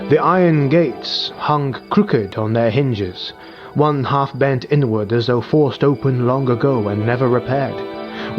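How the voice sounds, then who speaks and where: A male audiobook narrator reads dark fantasy at a steady, measured pace in a somber tone. His voice sits at a middle pitch. The audio is a bit dull and muffled, with quiet music playing underneath.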